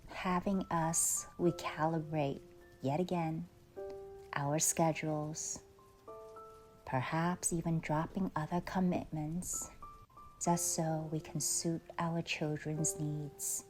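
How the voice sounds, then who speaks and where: Woman, guided meditation, deep mellow voice, soft and breathy phrase endings, soothing delivery. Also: level tone, poor audio. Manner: soothing, unhurried, with long pauses. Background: faint music.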